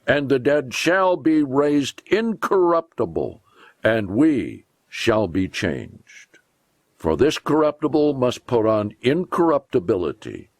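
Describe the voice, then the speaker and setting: A man reading scripture aloud as a preacher, in a solemn, deliberate and emphatic declamatory way. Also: medium pitch, no echo, clean studio sound.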